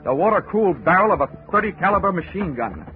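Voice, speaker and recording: Hardboiled investigator, dry wisecracks, narrating, slightly high voice, tinny old radio. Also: male voice, big pitch swings, fast talker. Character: wisecracking male insurance investigator. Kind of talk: 1940s radio acting, first-person narration. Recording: tinny 1940s radio broadcast with music.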